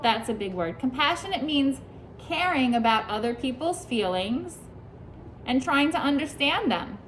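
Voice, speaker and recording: A higher-pitched woman reading a storybook to a class of young children in a classroom, with a faint hiss under her voice. She stops often to ask questions and answer the kids. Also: animated, unhurried, a bit bright, poor audio. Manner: asks the children questions and praises their answers. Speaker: preschool teacher. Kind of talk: reading a picture book aloud to a class.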